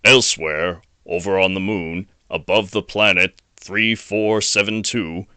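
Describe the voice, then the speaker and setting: A man's voice: low-pitched, steady tone, measured pace, pushed and bright, clear, resonant tone, no room sound. A man reading scripted fantasy audio drama narration as the storyteller.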